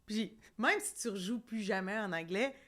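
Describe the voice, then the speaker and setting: A woman's voice: medium pitch, moderate pace, fairly bright tone. Chatty Quebec French woman host. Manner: chatty and encouraging, lots of agreeing. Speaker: podcast host. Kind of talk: casual podcast interview.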